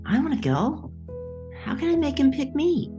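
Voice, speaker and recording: A woman's voice: sits high, very animated, normal speed, music in the background. A woman voicing an innocent, eager little boy mouse with a childlike, questioning manner in an audio drama. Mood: impatient, eager. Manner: childlike, questioning, wide-eyed.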